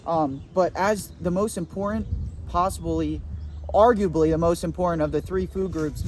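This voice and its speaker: A young man hosting a mock survival show outdoors, talking in a deadpan, boastful, rambling way. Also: on the high side, slight background noise. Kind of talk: deadpan comedic parody narration. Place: outdoors in nature.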